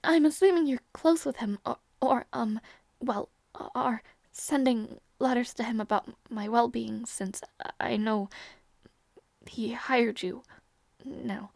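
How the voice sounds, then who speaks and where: A woman's voice: fairly high pitch, creaky, dry recording, full character voice. A woman playing a teasing young princess. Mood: tender. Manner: stammering, teasing, drops to soft whispers. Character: young princess, teasing, proud and easily flustered. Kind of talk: audio roleplay.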